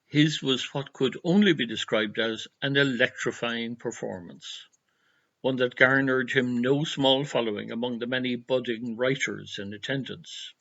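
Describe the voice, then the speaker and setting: An Irish man presenting a scripted tribute in a measured, formal, literary manner. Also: mid-range voice, gravelly, a bit bright, muffled recording. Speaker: presenter giving an introduction.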